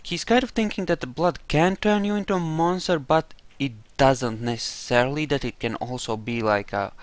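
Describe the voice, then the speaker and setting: A man's voice: fairly high pitch, normal speed, dry recording. A man giving casual, wry commentary on a game playthrough, musing aloud on a close mic. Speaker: gamer playing in character.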